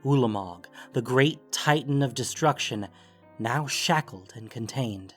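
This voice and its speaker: A man narrating scripted fantasy fiction in a grave, deliberate way with theatrical emphasis. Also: mid-range voice, lively intonation, soft background music.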